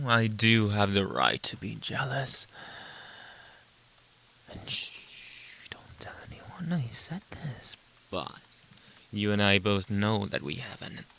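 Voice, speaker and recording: A man acting a slow, whispery jealous boyfriend. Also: fairly low pitch, pushed and bright, full character voice. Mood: conspiratorial. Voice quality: breathy, often dropping into whisper. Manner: theatrical, slow, drawn-out interrogating with dramatic pauses. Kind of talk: ASMR roleplay, close whispering and soft speech.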